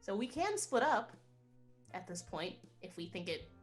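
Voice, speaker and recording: A woman's voice: medium pitch, sing-song, measured pace, faint music behind. A woman playing in a tabletop roleplaying game, chatting and stating her actions in improvised style.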